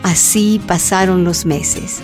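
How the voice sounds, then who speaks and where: Lower-voiced woman narrator. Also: slow pace, faint music behind. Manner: even, storytelling. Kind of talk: scripted radio drama narration.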